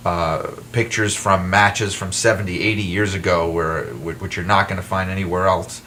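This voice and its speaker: A man's voice: low voice, moderate pace, slight background noise. A man, an author guest, talking animatedly in a casual interview, with frequent restarts. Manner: animated, self-deprecating storyteller with frequent restarts. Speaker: author and wrestling historian guest. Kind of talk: casual talk-show interview.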